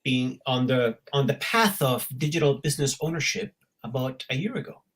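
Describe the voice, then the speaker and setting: A man's voice: mid-range voice, animated, moderate pace, fairly bright tone. Dry-joking middle-aged man from Peru. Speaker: interview guest. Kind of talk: casual interview talk.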